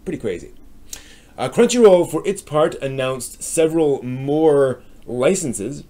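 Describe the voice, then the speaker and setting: A man with an American accent hosting a live stream, giving a casual news rundown in a hesitant, halting way as he thinks aloud. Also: a little high, expressive, warm tone. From United States.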